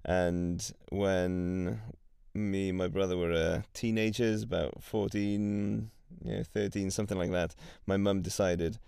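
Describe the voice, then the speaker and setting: A young man from Wales, a guest on a podcast, talking in a relaxed and chatty way. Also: low-pitched, measured pace, creaky, clear, resonant tone, no echo, clean studio sound. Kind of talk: casual conversation.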